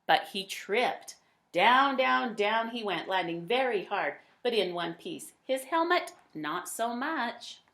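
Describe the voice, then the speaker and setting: A woman's voice: on the high side, lively intonation, fairly bright tone. Woman reading a picture book expressively. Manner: dramatic emphasis with drawn-out words. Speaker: read-aloud storyteller.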